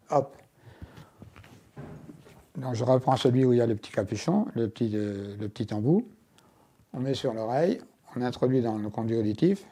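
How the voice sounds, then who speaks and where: A man's voice: medium pitch, mellow. A man presenting a product as a guest, explaining it hands-on and step by step with small asides. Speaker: inventor and guest demonstrating his product. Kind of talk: hands-on product demonstration in an interview.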